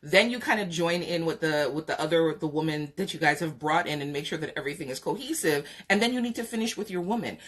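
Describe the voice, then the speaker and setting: A woman's voice: sits low, lively intonation, fast talker, few pauses, a bit bright. A woman with a Jamaican accent hosting a live podcast chat, giving frank, opinionated advice with lots of fillers. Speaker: podcast host. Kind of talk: live chat talk show, reading viewer comments.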